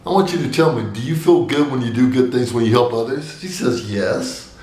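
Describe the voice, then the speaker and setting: An older man giving a personal sermon to camera, telling stories with big gestures and a booming voice, recorded in a small room indoors. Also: brisk, echoey room. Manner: animated storytelling with big gestures.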